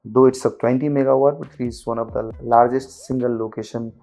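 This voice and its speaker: A middle-aged man from Nepal, a company finance chief, answering interview questions in a measured way with frequent restarts. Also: mid-range voice, steady tone, warm tone, faint music behind. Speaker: guest, group CFO.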